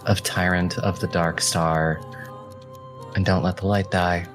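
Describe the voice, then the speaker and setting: A man's voice: sits low, little pitch movement, a little slow, soft background music. A man reading a scripted promotional announcement as a trailer announcer.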